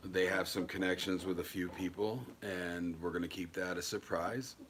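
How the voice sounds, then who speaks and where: A man's voice: sits low, fairly even intonation, moderate pace, fairly bright tone, slight background noise. A man hosting a casual livestream chat, rambling with lots of ums and restarts. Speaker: paranormal channel host.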